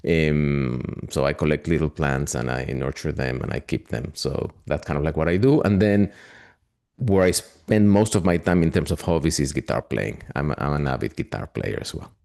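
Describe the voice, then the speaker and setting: A man's voice: extremely low pitch, normal speed, creaky, a little mellow. A man with a Latin American Spanish accent, speaking in a webinar interview as an AI consultant, friendly and hesitant with many fillers. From Argentina.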